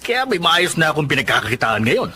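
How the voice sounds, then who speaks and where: A man's voice: fairly high pitch, big pitch swings, fairly quick, pushed and bright, a little hiss or hum, exaggerated voice. A Filipino man playing a kindly, encouraging ex-convict friend in a radio drama. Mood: warm, sincere.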